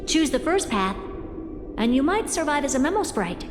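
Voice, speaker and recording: A woman playing an aloof, all-knowing genius who gives grave counsel in a measured, cryptic way in a video game. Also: high-pitched, sing-song. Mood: frank, gentle. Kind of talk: dramatic video game voice acting. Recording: very echoey long reverb, music behind.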